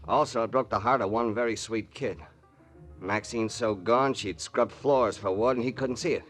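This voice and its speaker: A man's voice: mid-range voice, moderate pace, soft background music, natural voice. An American man playing a slangy, defensive nightclub hipster and barman in a 1940s radio drama. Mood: rattled.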